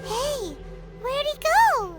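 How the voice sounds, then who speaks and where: A woman voicing a tiny, childlike, curious fairy companion in a video game, casual and expressive. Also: very high voice, very slow, faint music behind, exaggerated voice. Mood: puzzled, disappointed. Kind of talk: scripted video game dialogue acting.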